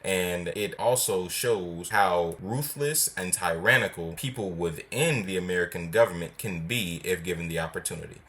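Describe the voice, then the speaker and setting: A man hosting a video, giving opinion commentary and reading comments in a measured, formal way. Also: low-pitched, fairly bright tone.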